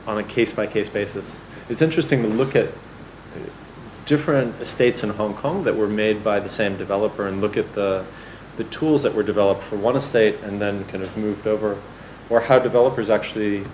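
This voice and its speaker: Male architect, lecture Q&A, low voice, thoughtful, faint hum behind. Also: rough recording. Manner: thoughtful, with hedging fillers. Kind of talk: lecture Q&A answer. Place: lecture hall.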